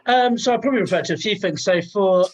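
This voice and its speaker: A man in an online workshop answering a question, explaining an organisation in clear detail. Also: sits high, quick pace, lo-fi sound. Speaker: workshop participant. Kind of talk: online group discussion.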